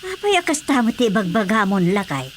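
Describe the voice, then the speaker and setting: An actress playing a nagging, exasperated older wife in a radio comedy drama. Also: female voice, mid-range voice, sing-song, a little fast, slight background noise, close to an everyday voice. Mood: scolding.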